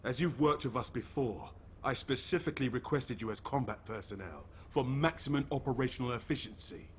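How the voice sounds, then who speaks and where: A man's voice: mid-range voice, fairly quick, slight background noise, lightly acted. A man playing a stern military squad commander in a video game, speaking in a formal, clipped, businesslike manner. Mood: stern, serious. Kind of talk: video game mission dialogue acting.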